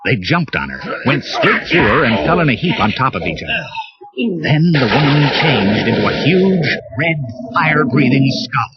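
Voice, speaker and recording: A man narrating a read-along storybook in a lively, expressive way. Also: medium pitch, normal speed. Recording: muffled cassette with music bed.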